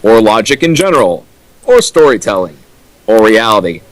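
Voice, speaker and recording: A man's voice: on the low side, big pitch swings, normal speed, no echo, poor audio. A young man reading character lines from a fanfic and mocking it with dry, pointed questions. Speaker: co-riffer. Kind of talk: comedic dramatic reading and riffing.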